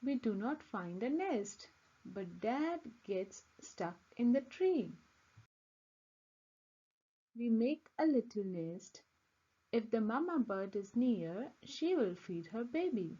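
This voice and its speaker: A woman reads a children's storybook aloud in a lo-fi recording. Her delivery is unhurried and deliberate, with big, expressive swings of pitch. The phrasing is simple, made for young listeners. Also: husky. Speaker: children's book reader.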